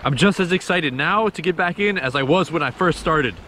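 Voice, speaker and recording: A man's voice: on the high side, a little fast. Upbeat male vlogger, 30s, outdoor shoreline, slight wind hiss. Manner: excited, upbeat. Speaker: fishing vlogger. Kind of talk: casual talk to camera. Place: outdoors on a rocky shore by the sea.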